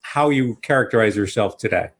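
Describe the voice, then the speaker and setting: An American man from New York hosting an interview in a conversational way, with measured, slightly formal phrasing. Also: on the low side. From New York, United States. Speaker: podcast host interviewing a guest.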